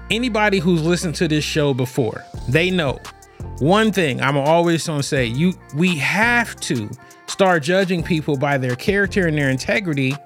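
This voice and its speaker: A man's voice: on the high side, fairly bright tone. A man hosting a show alone and talking casually about relationships, rambling with lots of fillers and restarts. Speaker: podcast host. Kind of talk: casual solo talk on relationships.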